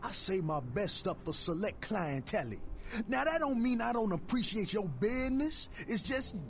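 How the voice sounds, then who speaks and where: A man voicing a fast-talking, sleazy, heavyset street fence in a video game, full of slangy hustler patter. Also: high voice, sing-song, a little hiss or hum. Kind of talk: video game character dialogue.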